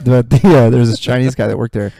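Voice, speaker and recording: A man with a gravelly, dark, relaxed voice chats as a podcast co-host. His phrasing is loose and slangy, with some swearing, and the recording is dry. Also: medium pitch, a little fast, dry recording. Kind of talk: casual chat.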